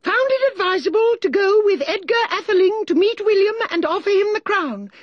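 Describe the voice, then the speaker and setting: A man's voice: very high voice, expressive, fairly quick, no echo, lo-fi sound, voice pushed up. A man voicing a self-important, easily offended mouse who lectures in a prim, pompous way. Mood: prim, testy.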